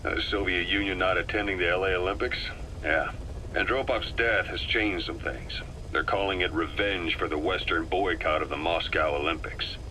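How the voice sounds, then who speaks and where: An actor playing a composed, worldly military operative giving a measured briefing in a video game. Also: male voice, deep voice, fairly even intonation, sharp tone, slight background noise, poor audio. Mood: matter-of-fact. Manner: measured, matter-of-fact, explanatory.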